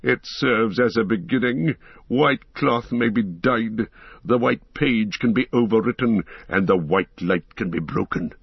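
A man voicing a proud, scornful old male wizard who speaks in a slow, sneering way. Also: on the low side, gravelly, slightly rough, no room sound, highs cut off, full character voice.

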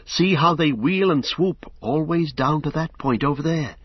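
A man voicing an anxious, excitable young male hobbit in a higher, breathless, pleading voice, from an audiobook reading. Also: normal speed, slightly rough, no room sound. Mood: frightened. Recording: dull lo-fi audiobook recording.

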